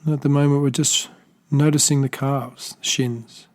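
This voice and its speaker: A man with an Australian accent leads a body scan meditation in a soft, unhurried voice. His pitch sits in the middle range, and he leaves long pauses between gentle, loosely phrased instructions. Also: flat delivery. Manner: slow, soft-spoken, gentle guidance with pauses; unscripted, with small restarts. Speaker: meditation teacher.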